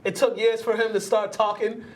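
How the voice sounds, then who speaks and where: A man's voice: high voice, little pitch movement, moderate pace. A man hosting a casual group sports talk show, repeating his openings to hold the floor. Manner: restarts phrases to hold the floor.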